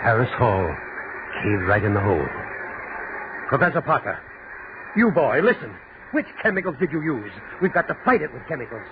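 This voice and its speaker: Actor as an excitable alarmed academic, swooping pitch. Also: male voice, normal speed, long pauses, natural voice. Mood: urgent. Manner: excitable, urgent, exclamatory. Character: alarmed, agitated male academic demanding answers. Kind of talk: 1940s radio acting. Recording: noisy, tinny 1940s radio recording.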